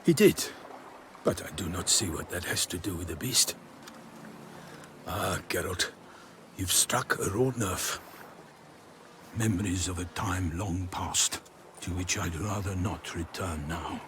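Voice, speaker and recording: An actor playing a courtly, eloquent knight in a video game, speaking in flowery archaic phrases with theatrical feeling. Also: male voice, low voice, a little slow, pauses often, background noise. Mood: wistful, guarded. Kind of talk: video game cutscene acting.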